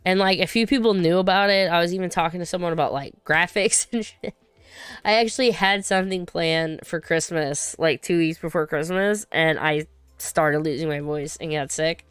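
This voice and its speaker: A woman's voice: on the low side, normal speed, clear, resonant tone, no echo. A woman hosting a casual livestream, rambling and chatty as she reads viewer comments, her voice still recovering from being sick. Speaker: livestream host.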